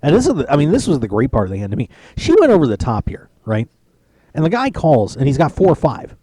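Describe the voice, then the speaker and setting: A man hosts a poker radio show live from a tournament lounge. His voice is mellow and dark, and the sound is dry. He rambles and jokes with lots of restarts. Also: mid-range voice, huge pitch swings, fast talker, husky, no echo. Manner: chatty, joking, rambling with restarts. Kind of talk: casual live-stream chat.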